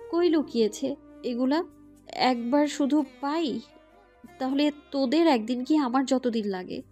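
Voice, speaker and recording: Woman acting a hot-tempered uni girl in an audio drama, high voice, dramatic grumbling. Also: a little slow, warm tone, soft background music. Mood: indignant. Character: feisty, dramatic young female university student, hot-tempered. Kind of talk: Bengali audio story acting.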